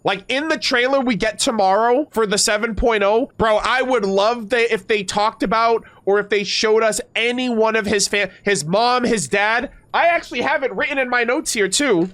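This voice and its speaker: A man giving casual, enthusiastic commentary as a reaction video host, slangy and quick to swear. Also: sits high, fairly bright tone.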